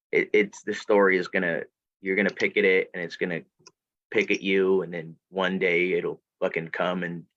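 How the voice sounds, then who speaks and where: A man's voice: deep voice, fairly bright tone, dry recording. A man from Florida, a guest author on a video call, rambling and restarting, swearing casually. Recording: dull dry video-call audio.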